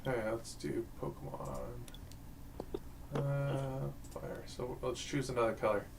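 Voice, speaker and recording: A man with a gravelly, creaky voice talks in a laid-back way, giving short replies while he builds a card deck. A faint room hum sits in the background. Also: medium pitch, fairly even intonation, a little slow, a little mellow. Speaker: stream co-host. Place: game store.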